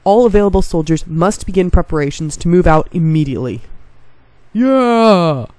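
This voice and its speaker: A woman's voice: lowish voice, lively intonation, moderate pace, darkish tone, no room sound, lightly acted. A congested woman voicing a proud, upbeat male samurai leader who speaks formally to his men. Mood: rousing. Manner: formal, rousing.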